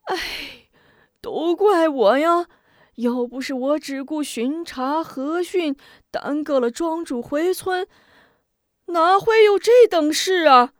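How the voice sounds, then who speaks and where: A woman voicing a two-faced male county magistrate who wails loudly and speaks in a pompous official manner. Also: mid-range voice, animated, takes it slowly, dry recording, studio quality. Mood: wailing. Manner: loud, weepy, pompous official wailing.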